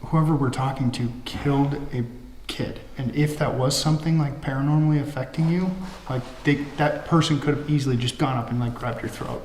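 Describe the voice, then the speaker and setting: A man speaks calmly and practically during an investigation. His voice carries through a reverberant hall with a long decay. A faint hiss runs beneath him. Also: medium pitch, a little fast. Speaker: paranormal investigator. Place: large abandoned building, indoors.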